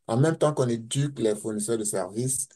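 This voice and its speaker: A man's voice: medium pitch, brisk, warm tone. A man asking a hesitant question from the floor, with many fillers. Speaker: audience member asking question.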